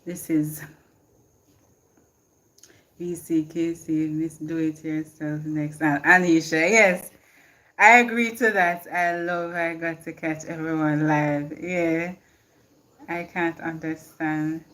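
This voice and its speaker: Jamaican woman, live-stream host, unhurried talk, reads viewer comments and laughs, phone mic. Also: deep voice, flat delivery, fairly bright tone, low-quality recording. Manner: reads viewer comments aloud and answers them, laughs often. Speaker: livestream host. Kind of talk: casual live-stream chat.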